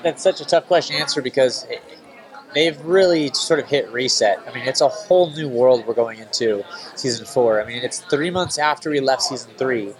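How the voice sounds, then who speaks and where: A man actor with a congested, nasal voice answering press questions in a quick, matter-of-fact way. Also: mid-range voice. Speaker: actor being interviewed. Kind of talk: press room interview answers.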